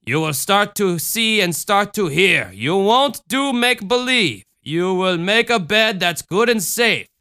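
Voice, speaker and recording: A man voicing a gentle, solemn motherly woman who comforts a child, speaking slowly and deliberately. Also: high voice, clear, resonant tone, voice pushed up, exaggerated voice. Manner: slow, calm, deliberate speech.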